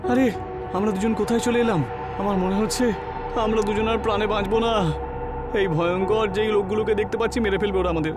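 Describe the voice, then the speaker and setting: A man's voice: high-pitched, fairly even intonation, fairly quick, natural voice. An actor playing a frightened, urgent young man in a horror cartoon. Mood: anxious. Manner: urgent, anxious, colloquial. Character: frightened young men, friends fleeing haunted cinema. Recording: background music under the voice.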